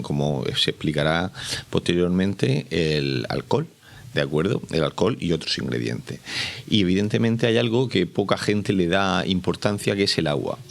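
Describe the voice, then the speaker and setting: A man's voice: deep voice, vocal fry. A man speaking as an academic expert, explaining informally and didactically, often posing questions and answering them himself. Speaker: biochemistry professor, expert.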